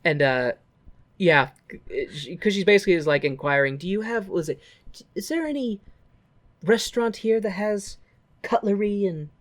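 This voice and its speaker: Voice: medium pitch, animated, normal speed. Irreverent podcast co-host casually recapping a movie. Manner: irreverent, swearing, jokey asides.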